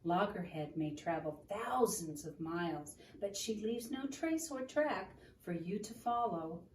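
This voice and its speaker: A woman reading a children's book aloud in an expressive, deliberate storytelling manner, in a roomy, noisy recording made at a distance from the microphone. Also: mid-range voice. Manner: expressive, deliberate, asking the listener questions.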